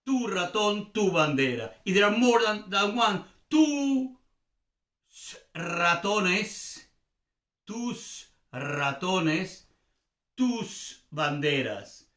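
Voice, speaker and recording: Male language tutor, Venezuelan accent, native Spanish speaker; highish lively voice, informal and didactic, repeats examples for learners. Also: extremely slow, reverberant. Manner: didactic, repeats examples, checks understanding with questions. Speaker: language teacher and host. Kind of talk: informal Spanish grammar lesson.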